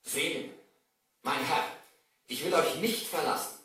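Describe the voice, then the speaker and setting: An actor playing a loyal, humble servant with earnest, theatrical delivery in an old radio play. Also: male voice, fairly high pitch, unhurried, bright, forceful tone, very echoey, far from the mic. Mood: devoted, earnest. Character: loyal, devoted servant of a young merchant. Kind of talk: 1970s German radio play acting.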